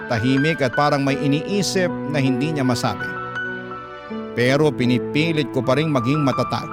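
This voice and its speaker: A man's voice: on the high side, close to an everyday voice. A Filipino man narrating a struggling mother's letter as emotive, sorrowful radio storytelling. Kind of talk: dramatic scripted narration. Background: loud music under the voice.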